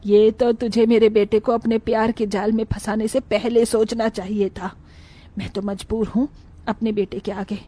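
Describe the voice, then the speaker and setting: A woman voicing a bossy, biased older mother-in-law in an animated moral tale, commanding and fussy. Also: on the high side, normal speed, lightly acted. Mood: resentful.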